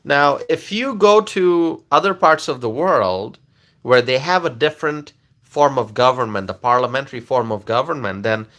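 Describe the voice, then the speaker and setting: A man's voice: mid-range voice, moderate pace, fairly bright tone. A man from Bangladesh, earnestly explaining politics to viewers in a casual, rambling way full of fillers and restarts. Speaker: political commentator answering viewers. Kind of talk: casual livestream talk.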